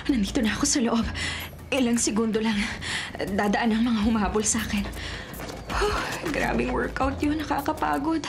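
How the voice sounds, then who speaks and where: A woman's voice: fairly high pitch, normal speed, gravelly. An actress playing a cheeky, streetwise thief in her twenties in a radio drama, breezy and slangy. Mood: winded, curious. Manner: breezy, slangy, self-mocking. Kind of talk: radio drama acting, inner monologue. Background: loud dramatic music.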